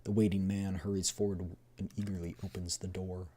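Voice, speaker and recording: Deep-voiced man narrating a game story. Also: little pitch movement, fairly quick, warm tone. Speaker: streamer. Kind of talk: dramatic scripted narration, reading a game story aloud.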